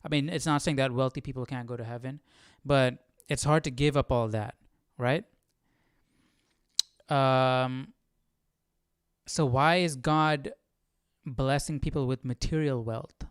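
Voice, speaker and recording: Clear-voiced man musing casually, dry sound. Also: mid-range voice, fairly even intonation, a little slow, long pauses, no room sound. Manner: thinking aloud, often asking "right?". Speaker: host of a live Bible study stream. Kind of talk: casual reflective commentary.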